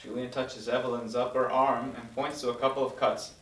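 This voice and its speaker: A man's voice: medium pitch, little pitch movement, fairly quick, a bit bright, a little hiss or hum. Roomy male script narrator. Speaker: stage direction reader. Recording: echoey room, distant mic.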